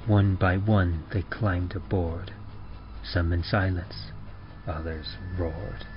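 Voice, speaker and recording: A man giving a grave, measured, theatrical reading of rhymed horror verse in the manner of old-time radio. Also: low voice, fairly even intonation, background noise. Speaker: podcast presenter reading a horror poem. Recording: dull lo-fi sound under loud music. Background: music.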